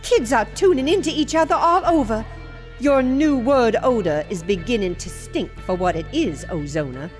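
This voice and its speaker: Woman playing a high-voiced, swooping, reproachful psychic. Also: animated, normal speed, faint music behind, natural voice. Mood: reproachful, knowing. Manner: dramatic, admonishing. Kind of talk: sci-fi radio comedy acting.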